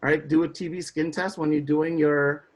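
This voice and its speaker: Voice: mid-range voice, fairly even intonation, normal speed, darkish tone. Lecturer from Indonesia checking in often. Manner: explanatory, often checks in with a rhetorical "right?". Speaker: biology instructor. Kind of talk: classroom lecture.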